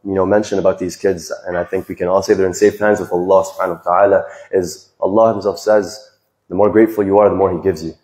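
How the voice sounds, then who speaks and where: Young man, sincere reflective speaker, lowish voice, handheld mic heard from the audience in a roomy hall. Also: a little mellow. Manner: earnest, reflective, speaks from the heart with small restarts. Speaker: volunteer giving a farewell speech. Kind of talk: heartfelt speech to an audience. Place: large decorated hall with stage. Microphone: handheld microphone, recorded from the audience. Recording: echoey hall.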